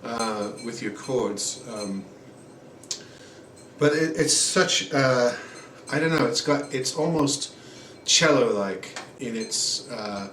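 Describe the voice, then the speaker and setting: A man in his forties giving a relaxed home guitar review, rambling with many ums and uhs. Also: medium pitch, slow. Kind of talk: casual product review. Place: small room at home, indoors. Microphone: camera mic at a distance. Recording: roomy, distant camera audio with noise. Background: acoustic guitar playing.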